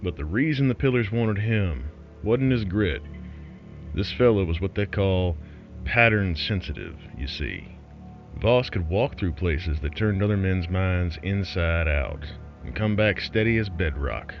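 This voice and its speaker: A man plays a folksy renegade broadcaster spinning a horror tale. The low voice swings expressively, sardonic and conspiratorial in tone. It sits over music in a slightly muffled recording. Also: expressive, unhurried. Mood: wry, conspiratorial. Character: wry, folksy male underground broadcaster telling eerie tales. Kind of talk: scripted horror storytelling as a broadcast.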